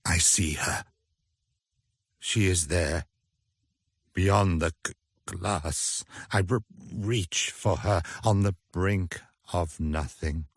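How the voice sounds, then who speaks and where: Brief: an ancient hungry vampire, deep and husky, stuttering, slow menace, radio drama. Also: male voice, no echo, studio quality, exaggerated voice. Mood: brooding. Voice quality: stammering. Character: ancient stammering male vampire, hungry and lonely.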